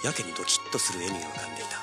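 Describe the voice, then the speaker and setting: An actor playing a brash, tough young treasure hunter, rough-spoken and hard-boiled, who also narrates his adventure in an audio drama. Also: sits low, very fast, loud music behind the voice, natural voice. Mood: wry, subdued. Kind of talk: 1980s audio drama acting with first-person narration.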